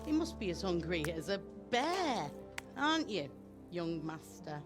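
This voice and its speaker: A woman's voice: fairly low pitch, sing-song, a little slow, music in the background. A woman acting a motherly, fussing castle servant with a sympathetic manner in a video game.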